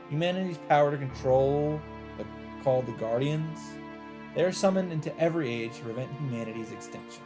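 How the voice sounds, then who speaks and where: Man reading a visual novel over music. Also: on the high side. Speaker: let's player reading a visual novel aloud. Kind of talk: expressive narration of a visual novel script. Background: game music.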